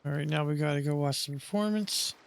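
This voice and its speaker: A man's voice: fairly high pitch, fairly quick, gravelly, clear, resonant tone. A man giving casual, offhand commentary as he plays through a video game. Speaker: gamer commenting on playthrough.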